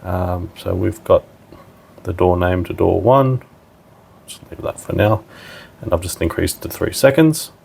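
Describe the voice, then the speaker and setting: A man's voice: deep voice, measured pace, creaky, darkish tone, husky. A man giving a casual, plain-spoken step-by-step technical demonstration as a tutorial presenter.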